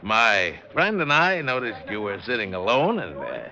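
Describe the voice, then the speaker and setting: A man's voice: on the low side, wildly swooping pitch, moderate pace, sharp tone, full character voice. An actor playing a flirtatious, easily flustered man on a night out in a 1940s radio drama, eager and a little hesitant. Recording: dull old radio recording, bar chatter.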